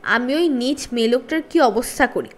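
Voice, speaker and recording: A woman's voice: fairly high pitch, lively intonation, a little fast, close to an everyday voice. A woman voicing cheeky, defiant schoolboys in a children's storybook reading, boastful and bold. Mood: boastful defiance.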